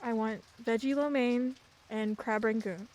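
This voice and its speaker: A woman's voice: on the high side, unhurried, natural voice, low-quality recording. A young woman playing a sullen, terse seventeen-year-old girl who is new in town, in a radio play. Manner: terse, flat, withdrawn.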